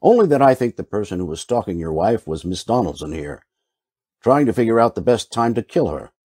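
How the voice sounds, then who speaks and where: A man acting a shrewd, world-weary detective in an old-time radio drama, deadpan and wry in delivery. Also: lowish voice, brisk, slightly rough, no echo, clean studio sound. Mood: coolly accusing.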